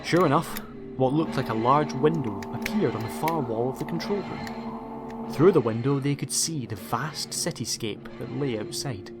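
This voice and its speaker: A man's voice: mid-range voice, normal speed. A man narrating a scripted audiobook story in a dramatic storytelling style. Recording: music behind the voice.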